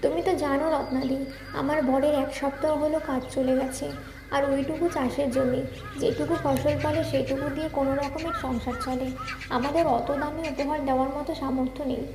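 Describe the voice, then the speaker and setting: A woman playing a gentle, caring young village wife and mother in a cartoon, speaking softly and earnestly. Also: sits high, steady tone, brisk. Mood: sad, resigned. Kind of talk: Bengali cartoon fairy-tale acting. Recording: roomy echo, faint ambient noise.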